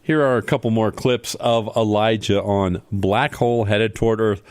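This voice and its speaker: A man's voice: lowish voice, steady tone, normal speed, smooth, no room sound. A man hosting a playful comedy radio show from a studio, interviewing a guest in a mock-serious way with leading questions.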